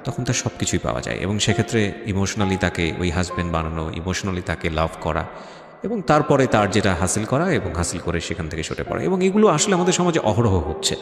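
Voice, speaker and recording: A male radio host with a Bangladeshi accent speaks in a lowish, very animated voice. He reflects and advises, slipping in English phrases. His voice carries a noticeable echo. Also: quick pace. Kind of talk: reflective radio interview hosting. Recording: echoey reverb, faint music bed. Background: faint music.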